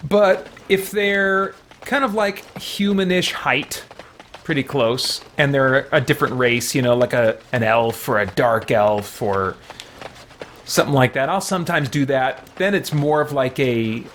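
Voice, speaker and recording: A man's voice: medium pitch, measured pace, fairly bright tone, a little hiss or hum. A man giving casual, rambling live commentary as a game streamer. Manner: rambling, conversational. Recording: music behind the voice. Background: game music.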